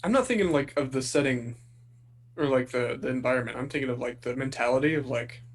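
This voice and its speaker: A co-host from Texas in a casual podcast chat, enthusiastic with quick reactions. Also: medium pitch.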